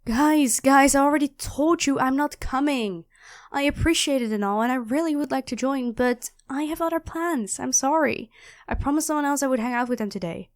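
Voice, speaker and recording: Woman acting high-voiced, nerdy, flustered female student. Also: moderate pace. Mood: apologetic, insistent. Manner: expressive, flustered, rambling, self-correcting. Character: nerdy, chatty, apologetic young female astrology student. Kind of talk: audio roleplay acting addressed to the listener.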